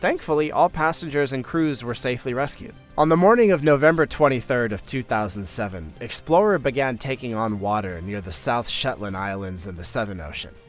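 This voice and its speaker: A man reading scripted documentary narration for a countdown video, measured and informative with the odd wry aside. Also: medium pitch, expressive. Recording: faint music bed behind voice.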